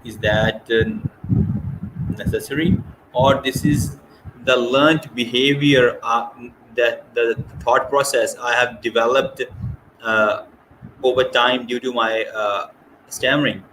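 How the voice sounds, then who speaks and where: A man speaks slowly, stammering through many hesitations and fillers as he hosts an interview. His voice sits at an ordinary pitch. Clear background noise hisses behind him on the video call. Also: steady tone, a bit bright, low-quality recording. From Pakistan. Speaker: podcast host. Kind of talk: casual interview chat.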